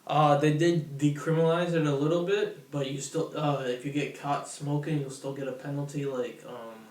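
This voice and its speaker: A man's voice: fairly high pitch, fairly even intonation, moderate pace, darkish tone, recorded from a distance. Brazil-raised man, blunt casual talker, set back from the mic in an echoey room with faint background noise. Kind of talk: casual chat.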